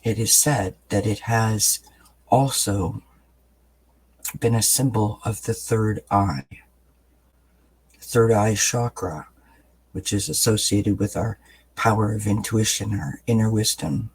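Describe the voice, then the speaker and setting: Guy doing a daily tarot reading, raspy just-up voice, low and kinda breathy, soft and rambly. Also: slow, long pauses, rough recording. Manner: soft-spoken, relaxed, meandering with many fillers. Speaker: tarot reader. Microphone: camera mic, above-view camera.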